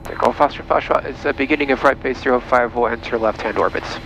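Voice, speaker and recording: Quick-talking male pilot on cockpit radio. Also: medium pitch, fairly even intonation, a bit bright. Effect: aviation radio. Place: small light aircraft cockpit. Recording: muffled cockpit radio audio, faint engine noise.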